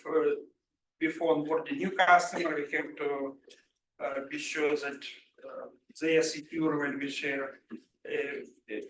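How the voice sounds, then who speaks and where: A middle-aged man from Ukraine with a Russian accent gives an informal technical workshop talk, haltingly and often clearing his throat. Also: fairly high pitch, fairly bright tone. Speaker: workshop presenter, security engineer. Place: indoor room at a live workshop. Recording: roomy echo, distant mic, faint noise.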